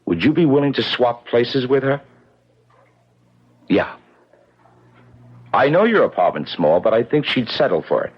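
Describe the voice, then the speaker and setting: A man's voice: mid-range voice, very animated, unhurried, long pauses, slightly rough. An actor playing a watchful, persuasive publicity man in a 1970s radio drama, with a businesslike way of talking. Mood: confiding, coaxing. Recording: muffled, vintage 1970s radio broadcast.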